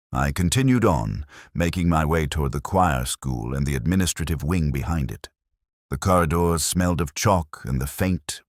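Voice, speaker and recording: A man playing a brooding, observant police detective, narrating his case in a measured, solemn, literary manner for a mystery drama. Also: rumbling low, no echo, studio quality. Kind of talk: scripted first-person mystery narration.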